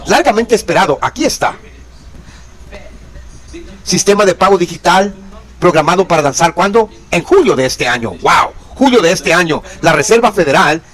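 A man in his thirties preaching with a fairly high, very lively voice that rises and falls sharply. He stresses and repeats key words and keeps asking rhetorical questions. He speaks to a camera in a plain room indoors. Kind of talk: religious commentary reading news. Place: indoor room with pale wall. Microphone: fixed camera, mid shot.

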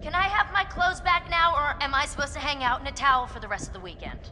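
A sarcastic young woman from a horror game, high in pitch and exasperated. The game audio is noisy, and her voice trails off into a long reverb. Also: animated, fast, bright, forceful tone, full character voice. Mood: annoyed. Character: young woman alone in a dark lodge, sarcastic.

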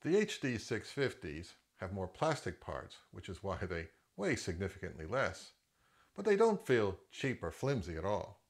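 A man's voice: lowish voice, wildly swooping pitch, normal speed. A middle-aged man giving a casual, opinionated product review as an audio gear reviewer, in a conversational way.

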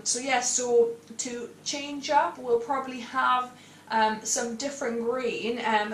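A woman in her thirties talks through a kitchen demonstration in a casual, slow-paced way. The room rings noticeably around her voice, which sounds a little away from the microphone. She is the host, explaining each step as she goes. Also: fairly high pitch. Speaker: cooking show host. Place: indoor home kitchen. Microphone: clipped lapel microphone. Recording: echoey room, slightly distant, faint noise.

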